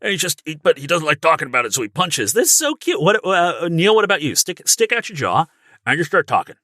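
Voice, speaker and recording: A man coaches in casual, encouraging podcast talk. His voice is bright and animated, recorded very dry. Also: a little high, fast talker, no room sound. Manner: encouraging, often checking with "right?". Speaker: voice acting coach.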